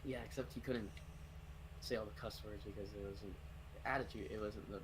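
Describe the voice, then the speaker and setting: A man's voice: on the low side, fairly even intonation, fairly quick. A man in his twenties in casual gamer chat, explaining things like an eager fan. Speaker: racer in a community race. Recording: noisy voice chat line.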